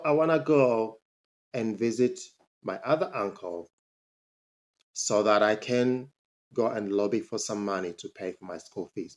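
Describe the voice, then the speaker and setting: A man, a motivational speaker telling his life story to camera, emphatic and rising sharply on his questions. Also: gravelly. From Zambia. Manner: emphatic, pitch jumps up on rhetorical questions.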